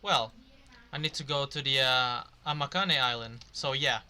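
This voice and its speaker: A man's voice: medium pitch, normal speed, sharp tone, smooth. A young man giving casual, slangy gameplay commentary, muttering asides as he reacts. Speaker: gaming streamer reacting to a story quest.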